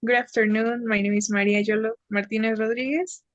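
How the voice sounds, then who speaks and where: A young woman from Mexico with a Mexican accent, a student teacher speaking in a lively, animated way in a speaking exam. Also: a little high, brisk, no echo, dull audio. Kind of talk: exam conversation.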